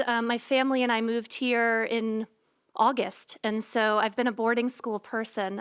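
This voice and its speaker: Woman in her 40s welcoming families at a school panel, smooth clear voice, lots of ums. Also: on the high side. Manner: many filler ums, warm conversational. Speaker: director of residential life. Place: school dorm common room.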